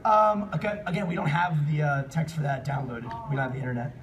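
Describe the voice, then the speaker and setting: An excitable man hosting a live comedic fan panel, reading and chatting with the audience, often bursting into shouts and laughter. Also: a little high, fast talker. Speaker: panel host and fanfic reader. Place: convention panel room. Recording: distant mic in noisy convention room.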